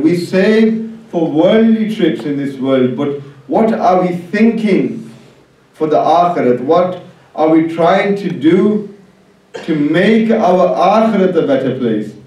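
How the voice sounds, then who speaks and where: High-pitched male preacher in a very echoey hall. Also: expressive, takes it slowly, dark tone, recorded from a distance. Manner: exhortatory, rhetorical questioning. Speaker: Islamic preacher at a religious gathering. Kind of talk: sermon. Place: religious hall, public address.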